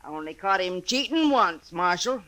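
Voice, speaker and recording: A woman's voice: on the low side, lively intonation, moderate pace. An actress playing a big, tough, ornery frontier woman in a 1950s radio western, with an American accent and a blunt, domineering manner. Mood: hearty, bossy. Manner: loud, domineering, blunt, rustic speech.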